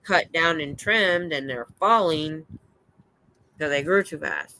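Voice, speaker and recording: An older American woman hosting a livestream, chatting in a rambling, excitable way. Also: low-pitched, takes it slowly, slight background noise, poor audio. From United States. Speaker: livestream host. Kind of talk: casual chat. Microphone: streaming setup.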